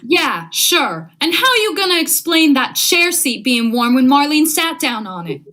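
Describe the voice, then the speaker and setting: A woman's voice: high voice, very animated, a little slow, pushed and bright, full character voice. A young woman acting an uneasy, grumbling male accomplice in vintage radio drama style, urgent and slangy. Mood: irritated. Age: young adult. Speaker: student actor.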